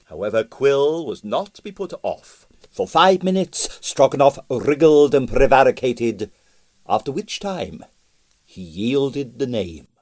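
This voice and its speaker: A man narrating a comic novel as an audiobook, with dry, expressive storytelling. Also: medium pitch, a little slow.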